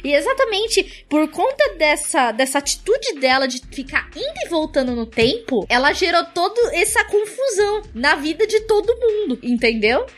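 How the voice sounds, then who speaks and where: High-voiced Brazilian woman. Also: very animated, normal speed, hardly any pauses, fairly bright tone. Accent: Brazilian Portuguese. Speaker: podcast co-host. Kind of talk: casual game recommendation. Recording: faint music behind the voice. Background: faint background music.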